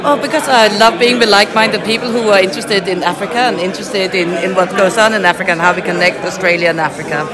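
A woman in her forties speaking enthusiastically at a networking event, recorded close on a phone. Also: medium pitch. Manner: enthusiastic, quick listing. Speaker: non-profit founder. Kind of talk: casual vox pop interview. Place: large indoor function room at a networking event. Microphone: phone held close, selfie framing. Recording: loud crowd chatter behind.

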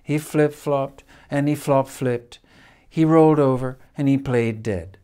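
An older man reads a children's picture book expressively, with measured phrasing and dramatic pauses. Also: medium pitch, mellow, smooth. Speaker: storyteller reading a picture book. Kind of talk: expressive children's storybook reading. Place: indoors, room in a house with stone wall.

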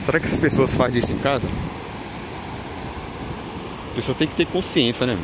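A man's voice: mid-range voice, a little fast. A man with a Brazilian accent giving unscripted walking vlog commentary, repeating himself in an admonishing way with frequent tag questions. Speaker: vlogger. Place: outdoors on an open windy beach. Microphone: handheld camera mic, behind the camera. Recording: loud wind noise on mic. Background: wind and outdoor ambience.

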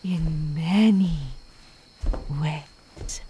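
A woman playing a sadistic, possessive female demon in an ASMR roleplay, theatrical, slipping between breathy whispers and menacing outbursts. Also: sits low, extremely slow, warm tone, a little hiss or hum. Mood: menacing coaxing. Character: sadistic, obsessive, possessive female demon stalking her pet.

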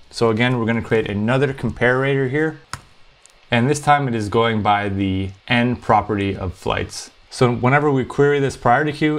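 A man hosting a programming tutorial, explaining step by step in a conversational way. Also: lowish voice.

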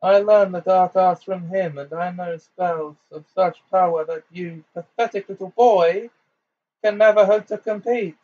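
A man voicing a cruel, fanatical adult witch in an audiobook, shrill, imperious and frantic. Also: steady tone, measured pace, a little mellow, highs cut off. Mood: menacing, imperious.

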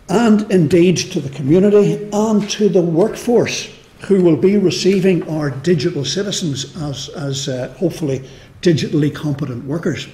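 A man from Northern Ireland with a Northern Irish accent, answering on a panel at a measured pace with restarts and fillers. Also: a little high, mellow. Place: lecture hall. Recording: echoey hall.

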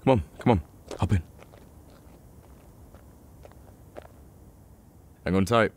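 A man playing a betrayed, protective young male friend in an emotional audio roleplay, speaking haltingly with sighs and stammers. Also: deep voice, a little mellow, slight background noise, close to an everyday voice. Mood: soothing. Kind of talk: emotional ASMR roleplay acting.

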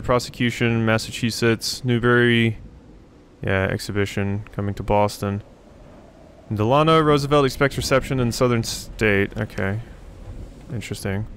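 A man's voice: mid-range voice, fairly bright tone, slight background noise. A man giving casual let's play commentary, thinking aloud as he goes. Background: game ambience.

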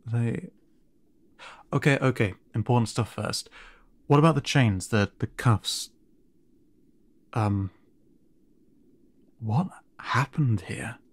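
A man playing a gentle, flustered siren in an audio roleplay, speaking haltingly and stammering with concern, often dropping to a breathy near-whisper. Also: medium pitch, dry recording. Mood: worried, baffled. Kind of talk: audio roleplay addressed to the listener.

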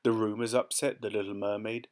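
A man calmly reading a fairy tale aloud from a storybook. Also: fairly low pitch, fairly quick. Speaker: storybook reader. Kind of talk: gentle read-aloud storytelling.

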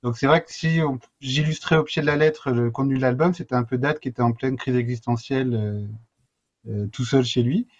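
A man's voice: medium pitch, steady tone, fairly quick, dull audio. A middle-aged man, a guest author explaining casually over a video call. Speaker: guest comic book author. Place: indoor room with bookshelves, video call.

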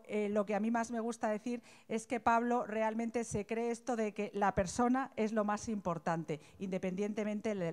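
A woman's voice: a little high, clean tone. A young woman presenting an event and introducing a guest speaker to students, speaking with frequent hesitations in a university auditorium. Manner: hesitant, many fillers.

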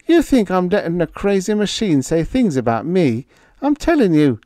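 A man doing a shrill, panicky man. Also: big pitch swings, normal speed, warm tone, dry recording, studio quality. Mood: panicked. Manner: frantic, high-pitched pleading.

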